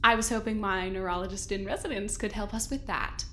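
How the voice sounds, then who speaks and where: A woman's voice: medium pitch, steady tone, fairly quick, a bit bright, a little hiss or hum, close to an everyday voice. A woman playing a breezy, teasing, condescending supervisor in an audio drama, arch and mock-sweet. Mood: arch, needling. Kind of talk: naturalistic audio drama acting.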